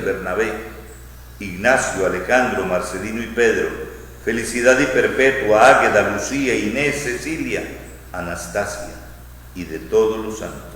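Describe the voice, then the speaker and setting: Echoing, low-voiced priest praying solemnly. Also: male voice, fairly bright tone. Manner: solemn, measured, phrase by phrase. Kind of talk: liturgical prayer recitation. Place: large church interior. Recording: echoing church hall, long reverb, faint noise.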